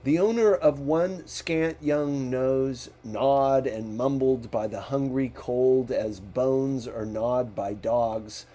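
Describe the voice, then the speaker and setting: A male audiobook narrator reads literary fiction at a slow pace. His voice is mellow and mid-pitched. He shapes phrases deliberately, with a dramatic storyteller's delivery.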